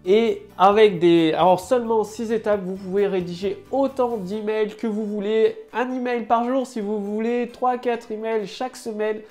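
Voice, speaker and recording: A woman's voice: medium pitch, fairly even intonation, moderate pace, hardly any pauses, a little mellow, faint music behind. Chatty woman with a head cold. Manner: chatty, loose, heavy with fillers and restarts. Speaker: online marketing coach. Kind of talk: casual talking-to-camera tutorial.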